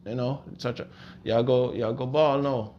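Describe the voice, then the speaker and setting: A young man speaking softly and hesitantly in a salon, casually answering questions about his hair. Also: mid-range voice, steady tone, normal speed, highs cut off, a little hiss or hum. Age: young adult. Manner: soft-spoken, hesitant, casual. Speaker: interviewee, former loc model.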